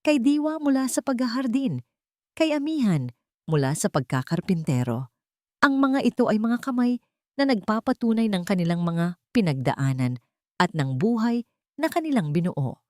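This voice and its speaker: A woman narrating a scripted sentimental love story in a measured, expressive way. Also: mid-range voice, no room sound, studio quality.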